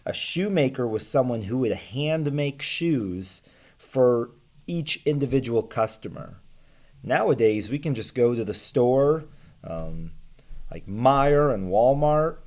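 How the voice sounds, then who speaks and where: An American man in his thirties teaching casually to camera, explaining things in plain, simple words with pauses. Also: mid-range voice, lively intonation, darkish tone. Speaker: teacher reading aloud to students. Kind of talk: casual read-aloud lesson to camera. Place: small room at home with bookshelves. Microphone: webcam or laptop microphone at desk distance.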